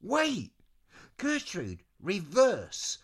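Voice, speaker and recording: A storyteller voicing an exasperated, hot-tempered girl adventurer from a children's storybook, huffy and given to yelling. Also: medium pitch, sing-song, unhurried. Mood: frantic, frustrated.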